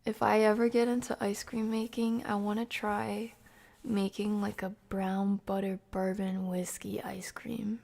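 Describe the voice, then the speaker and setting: A woman live streamer chatting casually with viewers, soft-spoken and often slipping into a breathy near-whisper. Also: medium pitch, flat delivery, measured pace. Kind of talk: casual livestream chat with viewers.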